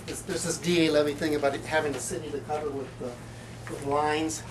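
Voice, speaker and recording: A man giving a live poetry reading in a bookstore, with halting, hesitant asides and deliberate delivery. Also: fairly high pitch, flat delivery. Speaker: poet. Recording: light background room noise.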